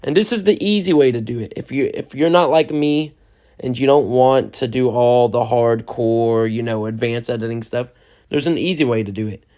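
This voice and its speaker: A young man, a music producer, giving a loose, chatty step-by-step software lesson and often checking in with the listener. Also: medium pitch, normal speed, lo-fi sound. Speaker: music producer teaching a tutorial. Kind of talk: casual step-by-step software tutorial.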